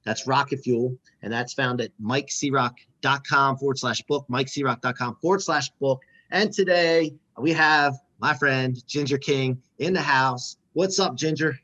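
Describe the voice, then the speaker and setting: A man hosting a podcast, talking in an energetic, hype-style way as he promotes and interviews. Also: mid-range voice, a little slow, few pauses, lo-fi sound. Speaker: podcast host.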